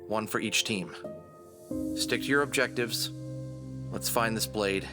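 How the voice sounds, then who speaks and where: Man acting a determined fox hero, low-voiced, music behind. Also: measured pace, close to an everyday voice. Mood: resolute. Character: determined male fox adventurer leading his team. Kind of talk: audio drama acting.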